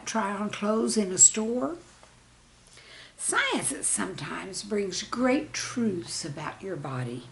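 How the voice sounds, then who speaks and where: A woman reads humorous, scripted stories from her life as a teacher. Her pace is slow and deliberate, with a medium pitch for a woman. There is noticeable background noise in the recording. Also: low-quality recording. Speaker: teacher reading own humorous classroom anecdotes.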